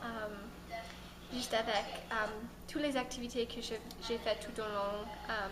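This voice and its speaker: A woman's voice: on the high side, steady tone, a little slow, roomy sound, noisy background. A young woman gives a hesitant, unscripted testimonial, using many fillers. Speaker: former immersion student.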